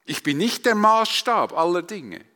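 Male Swiss German preacher: forceful bright tone, rhetorical and emphatic, recorded in a big reverberant hall. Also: high voice, very animated, unhurried. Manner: emphatic, rhetorical repetition and questions. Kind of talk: sermon to a congregation.

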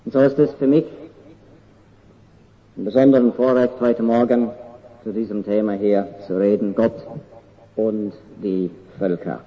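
An older man from Canada with an English accent, his first language English, preaching a sermon in an earnest, slow and deliberate way with halting pauses. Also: fairly low pitch, steady tone, lo-fi sound.

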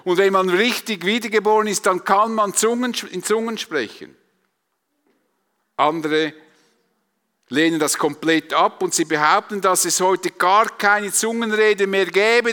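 Male Swiss German preacher: forceful bright tone, rhetorical and emphatic, recorded in a big reverberant hall. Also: sits high. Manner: emphatic, rhetorical repetition and questions. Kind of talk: sermon to a congregation.

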